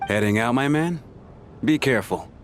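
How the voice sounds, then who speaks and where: A man's voice: medium pitch, normal speed, a little hiss or hum, natural voice. An actor voicing a blunt, skeptical male bystander in a game cutscene. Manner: blunt, dismissive.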